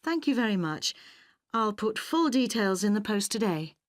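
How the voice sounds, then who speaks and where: Woman playing a university admissions lady, polite and efficient, dry close recording, medium pitch. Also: sing-song, normal speed, no room sound, close to an everyday voice. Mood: polite, businesslike. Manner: polite, clearly enunciated, businesslike. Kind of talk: acted listening-test dialogue.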